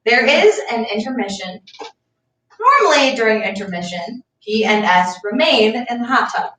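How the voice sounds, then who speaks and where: A woman's voice: fairly high pitch, expressive, normal speed, bright, forceful tone. A woman reading stage directions aloud at a live staged play reading. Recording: roomy, distant mic, faint room noise.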